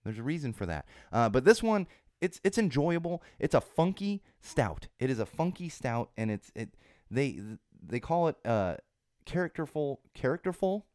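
Chatty podcast host with a cold. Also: male voice, a little high, very animated, moderate pace, darkish tone, no echo, studio quality. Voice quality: slightly hoarse, sore throat. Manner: chatty and rambling, with frequent restarts and laughs. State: congested, recovering from a cold. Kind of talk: casual solo podcast chat.

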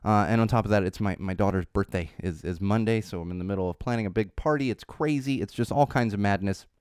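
A man's voice: on the low side, fast talker, darkish tone, clean tone, no room sound, studio quality. Congested chatty male podcast host. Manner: chatty, rambling, self-promoting. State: congested from a cold. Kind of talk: casual solo podcast talk. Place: small studio. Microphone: studio microphone.

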